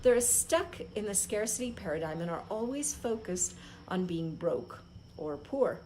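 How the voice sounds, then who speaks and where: A woman reading a self-help book aloud as an impromptu live read-along host, phrasing it in short, deliberate groups. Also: medium pitch, expressive, darkish tone, slight background noise.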